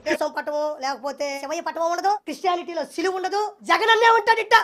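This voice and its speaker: Outspoken woman, high voice, extremely quick delivery, crowd behind her. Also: animated.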